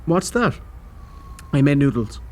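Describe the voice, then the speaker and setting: A quirky, sheepish monster in a horror audio drama. The delivery swings widely in pitch, hesitant yet excitable, like an insecure oddball. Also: male voice, unhurried, slight background noise. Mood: downcast. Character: quirky, sheepish, insecure male monster who loves cats.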